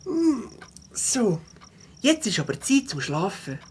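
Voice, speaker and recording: A woman's voice: medium pitch, sing-song, slow pace. A woman voicing a kind, cheerful young beaver schoolboy in a children's audio drama, with a Swiss German accent. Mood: reflective. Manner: comforting, upbeat.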